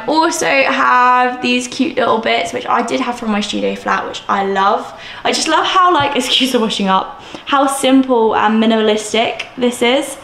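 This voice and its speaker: A young woman in her twenties chatting casually and excitedly as she shows off her new flat, filmed on a handheld camera. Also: a little high. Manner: chatty, bubbly, gushing with excitement. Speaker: vlogger. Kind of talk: casual home tour vlog. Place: mostly empty new flat, indoors. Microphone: camera mic, handheld camera. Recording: echoey empty rooms.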